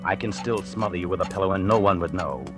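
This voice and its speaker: An actor playing a cold, calculating male killer who poses as a doctor, in measured, smooth 1940s radio acting. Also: deep voice, lightly acted. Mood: sneering. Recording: old radio broadcast with music underneath.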